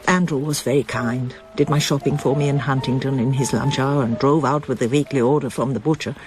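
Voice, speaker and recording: A woman's voice: extremely low pitch, steady tone, brisk, over music. An actress playing an anxious, reserved middle-aged wife and mother in a radio drama, wistful and guarded. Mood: wistful, reflective. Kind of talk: radio drama acting, with first-person narration.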